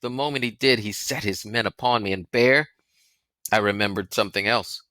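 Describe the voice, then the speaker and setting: A man's voice: lowish voice, moderate pace, bright, forceful tone, no room sound. A man voicing a frightened, earnest young boy in an audiobook, anxious and pleading. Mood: frightened rush. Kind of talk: audiobook character voice.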